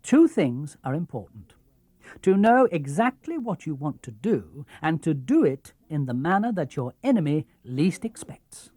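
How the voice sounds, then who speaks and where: A man voicing a brisk boy captain in a storybook, giving emphatic orders. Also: fairly high pitch, very animated, dark tone, no room sound. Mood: instructive. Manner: commanding, emphatic, calling out.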